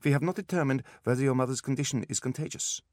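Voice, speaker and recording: A pompous German specialist, pitched up and clipped, dismissing relatives in a storybook sickroom corridor as a male narrator acts him out. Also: fast talker, a little mellow, dry recording, studio quality, natural voice. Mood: curt, impatient. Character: sharp, impatient, haughty German male doctor.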